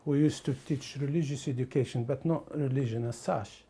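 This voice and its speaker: A man from the Middle East or North Africa talking casually and reflectively, haltingly searching for words. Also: medium pitch, mellow, breathy edge. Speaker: documentary interviewee.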